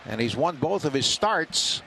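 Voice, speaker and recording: A man doing live radio baseball play-by-play, talking conversationally with hesitant pauses between calls. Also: on the high side, big pitch swings, bright, forceful tone, rough recording. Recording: radio broadcast with clear stadium noise. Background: outdoor ballpark ambience.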